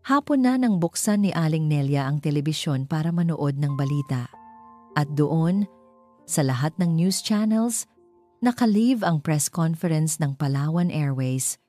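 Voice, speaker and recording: A woman's voice: low voice, lively intonation, measured pace, warm tone, faint music behind. A woman with a Filipino accent reading a dramatic romance story as a scripted narration.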